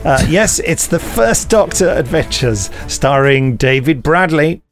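Guy doing podcast banter, highish voice, very up and down, jokey. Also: lively intonation, a little slow, over loud music. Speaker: podcast host. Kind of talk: casual banter.

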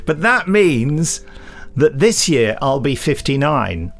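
A man in his late fifties co-hosting a podcast in casual chat, self-deprecating and quick to chuckle at his own age. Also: on the high side, sing-song. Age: 50s. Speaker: podcast co-host.

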